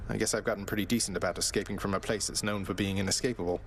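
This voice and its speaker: An actor playing an earnest young prince of the underworld in a video game, polite, understated and lightly wry. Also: male voice, fairly low pitch, steady tone, racing, close to an everyday voice. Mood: wry, content. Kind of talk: video game character dialogue. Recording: faint music behind the voice.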